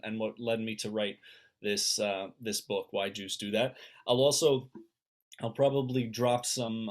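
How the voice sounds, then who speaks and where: An American man, around his thirties, gives an informal, personal talk over a video call. He speaks as a rabbi and author, feeling his way through sentences and often doubling back to repeat or restart a phrase. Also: medium pitch. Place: indoors at home on a video call.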